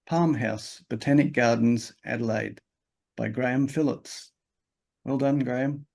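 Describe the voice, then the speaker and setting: Give me a gravelly man steadily announcing exhibition acceptances. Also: mid-range voice, unhurried. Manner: plain, steady, reads titles and adds short asides. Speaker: exhibition host. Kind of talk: announcing results.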